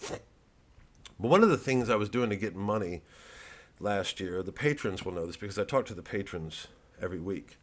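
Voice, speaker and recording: A middle-aged American man, a comedian, talking casually in a halting, digressive monologue full of repeats and asides. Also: lowish voice, expressive. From United States. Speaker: stand-up comedian and satirist. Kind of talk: rambling casual monologue to an audience of fans.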